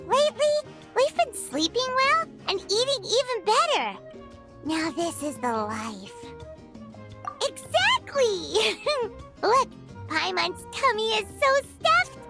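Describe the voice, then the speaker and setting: Squeaky, very high-pitched fairy girl. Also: sing-song, slow, full character voice. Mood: contented. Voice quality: squeaky, childlike. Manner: refers to self in third person, exclamatory. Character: small childlike floating fairy girl companion, excitable, gluttonous. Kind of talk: video game character acting. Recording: loud background music under voice.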